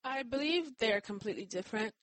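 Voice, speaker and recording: A woman's voice: fairly high pitch, animated, brisk, dry recording. A woman from Nigeria whose first language is Yoruba, answering interview questions in a careful, explanatory way and often hedging with "I believe". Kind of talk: conversational interview.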